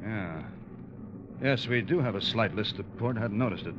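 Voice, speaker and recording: A man's voice: lowish voice, moderate pace, lo-fi sound, background noise, lightly acted. An actor playing a dutiful, uneasy first officer who narrates his own story in an old radio drama. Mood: guarded, brisk. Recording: band-limited vintage radio broadcast.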